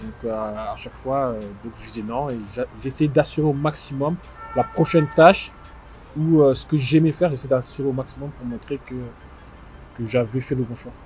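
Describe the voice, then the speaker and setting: A man in his twenties who grew up in Strasbourg, giving conversational interview answers with frequent mid-phrase pauses. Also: medium pitch, steady tone, darkish tone. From born in DR Congo, grew up in Strasbourg, France. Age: 20s. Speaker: interview guest, fashion house founder. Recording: dull muffled sound with music behind. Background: music.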